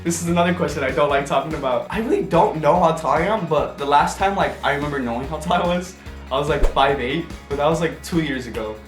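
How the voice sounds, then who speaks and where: Upbeat young guy, a touch high, playful and giggly, in an echoey room with faint music. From United States. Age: young adult. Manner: bubbly and playful, quick laughs and jokey asides. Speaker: social media influencer. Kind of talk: casual chat answering questions to camera.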